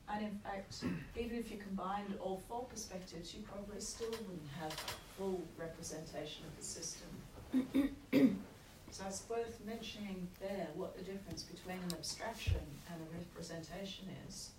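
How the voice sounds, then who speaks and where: A woman lecturer giving a university lecture with a croaky voice, explaining at a measured pace with frequent checks like "okay". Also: mid-range voice. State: croaky, recovering from lost voice. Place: lecture hall. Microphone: room recording from far off. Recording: echoey hall, distant mic, noisy.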